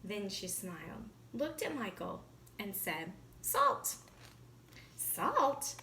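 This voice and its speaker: A woman's voice: medium pitch, big pitch swings, unhurried, a bit bright, slight background noise. A woman teacher reading a children's storybook aloud expressively, with teaching asides and questions to the listener.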